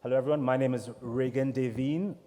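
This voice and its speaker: A man from Trinidad and Tobago with a Trinidadian accent, chatty and relaxed, moderating a conference panel at a desk microphone. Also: mid-range voice, quick pace. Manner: relaxed, chatty, with many fillers. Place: large conference hall. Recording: echoey hall reverb.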